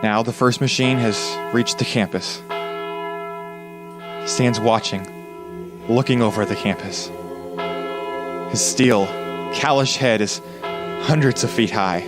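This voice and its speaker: An actor playing a grave radio news announcer in a 1930s-style radio drama, giving halting eyewitness commentary. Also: male voice, mid-range voice, over music, lightly acted. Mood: doomed dread.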